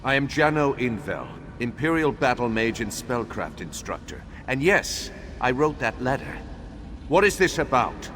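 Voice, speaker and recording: An actor playing a grave, remorseful undead imperial battle mage in a video game, speaking in a formal, measured, solemn way. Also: male voice, medium pitch, big pitch swings, fairly bright tone. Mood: bitter, imperious. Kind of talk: dramatic video game dialogue. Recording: long hollow reverb, faint background noise.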